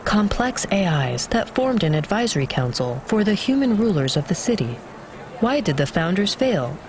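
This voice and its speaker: A formal, emotionless machine assistant from a video game, reciting data with exact precision. The mid-pitched delivery moves up and down in pitch, with clear city noise around it. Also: sing-song, moderate pace. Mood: clinical. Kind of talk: scripted game dialogue, reading data.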